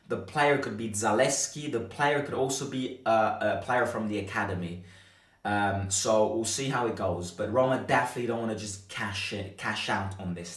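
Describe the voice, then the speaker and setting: A man hosting a live football fan stream, chatting conversationally and hesitantly, often repeating himself as he goes. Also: lowish voice. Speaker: fan channel host and streamer. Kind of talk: live-stream football chat, reading viewer comments. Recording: roomy echo, distant from microphone.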